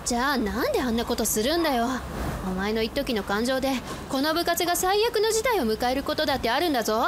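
A woman's voice: high voice, expressive, quick pace. A woman playing a stern, tomboyish teenage schoolgirl who talks in a brusque, scolding way in a radio drama. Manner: brusque, scolding, masculine speech. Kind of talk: anime-style radio drama acting. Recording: loud background noise.

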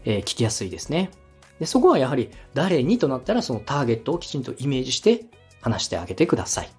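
Male podcast host, polite coach: slightly rough, husky voice, animated pitch, encouraging explanations over faint music. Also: fast. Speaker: podcast host, radio director giving advice.

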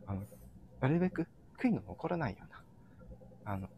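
A man hosting a live audio show, speaking casually and earnestly to listeners, often halting as he searches for words. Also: medium pitch, very animated, mellow, dull audio. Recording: background noise, live audio stream.

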